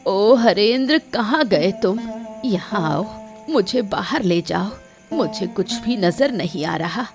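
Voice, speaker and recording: A woman's voice: medium pitch, lively intonation, takes it slowly, faint music behind. A woman voicing a frail, reproachful old village mother in a cartoon moral story, with a plaintive manner. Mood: anxious, calling. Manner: plaintive, guilt-tripping.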